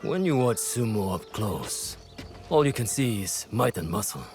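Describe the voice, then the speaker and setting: A shrewd, calm strategist who explains tactics in a measured, lecturing way. His voice sits a little low, with game music clearly underneath. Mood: knowing. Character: shrewd older male strategist, calm and knowing.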